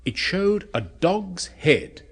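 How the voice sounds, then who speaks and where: An actor playing a blunt, obsessive neurosurgeon, brisk and persuasive, in a 1970s radio drama. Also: male voice, high voice, big pitch swings, husky, exaggerated voice. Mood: intense, persuasive.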